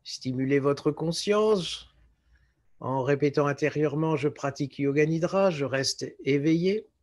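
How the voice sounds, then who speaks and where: A man guiding a relaxation meditation as a yoga nidra teacher, speaking in a calm, measured way with short phrases and pauses. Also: fairly high pitch. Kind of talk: guided relaxation meditation instruction.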